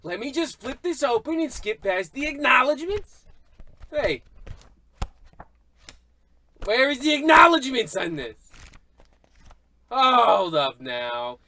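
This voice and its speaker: Pompous, sputtering book reviewer, male actor: scornful, high and sharp, radio comedy play. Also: very animated, slow, long pauses, heavily acted, low-quality recording. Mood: indignant confusion. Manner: haughty, indignant, sputtering. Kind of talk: old radio comedy acting.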